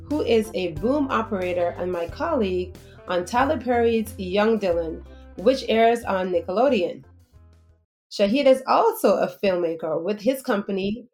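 A woman hosting a podcast, interviewing a guest in a slow, deliberate, conversational way. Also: mid-range voice, darkish tone.

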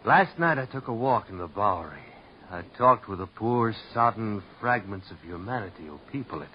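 A man's voice: on the low side, animated, moderate pace, lightly acted. An actor in a 1950s radio drama playing an eccentric, self-absorbed male dancer with a theatrical, whimsical way of talking. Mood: dreamy, intense. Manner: theatrical, whimsical, grandiose phrasing. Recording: muffled, noisy vintage radio recording.

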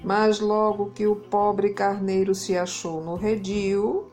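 A Brazilian woman narrating a children's story in an expressive, dramatic way, leaning on key words. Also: medium pitch, slow, music in the background. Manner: dramatic, emphasizes and repeats words. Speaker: children's storyteller, podcast host.

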